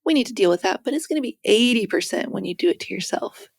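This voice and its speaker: A soft-spoken woman with a gentle voice, an author guest telling stories in a conversational interview with playful quoted asides. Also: a little high, fast, vocal fry, breathy edge, no room sound, clean studio sound. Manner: animated storytelling with quoted asides.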